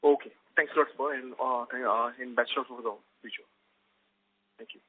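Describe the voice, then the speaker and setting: Fast, husky man on a phone. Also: mid-range voice, steady tone, no room sound. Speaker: analyst asking questions. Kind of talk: earnings call questioning. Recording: thin, dry phone line.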